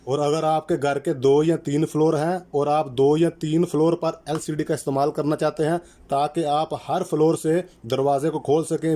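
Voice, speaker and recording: A man with a slightly high voice walks through a technical installation one step at a time. He is methodical and instructional, restating key terms so each step is clear. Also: warm tone. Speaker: electrician presenting a how-to channel. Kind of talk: step-by-step product installation tutorial.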